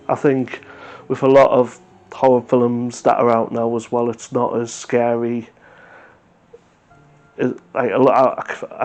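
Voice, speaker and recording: A man's voice: mid-range voice, a little mellow. A man hosting a reaction video, giving a casual, unscripted review in a hesitant, rambling, matter-of-fact way. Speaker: YouTube reaction host.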